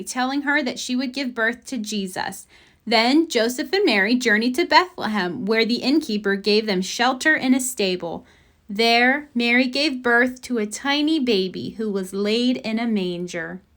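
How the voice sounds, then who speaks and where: A woman's voice: on the high side, moderate pace, fairly bright tone. A woman reading a children's picture book aloud as a storybook narrator. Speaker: storybook reader.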